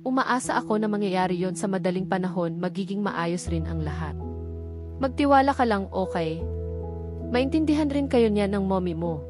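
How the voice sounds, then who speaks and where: A woman's voice: mid-range voice, lively intonation, moderate pace, lightly acted. Woman reading as reassuring Filipina best friend, over music. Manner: reassuring, questioning. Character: supportive young female best friend, curious and reassuring. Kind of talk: audiobook dialogue. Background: background music.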